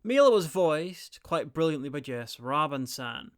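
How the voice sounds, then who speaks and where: A man's voice: fairly high pitch, very animated, measured pace, soft background music. A man narrating a scripted review in a wry, blunt, sweary manner. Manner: blunt, sweary, dry humour. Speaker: reviewer and presenter.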